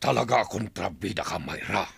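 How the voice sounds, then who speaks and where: Harsh, gravelly villainous chieftain. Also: male voice, sits low, lively intonation, brisk, fairly bright tone, full character voice. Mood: scheming, bitter. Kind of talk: Filipino radio drama villain acting.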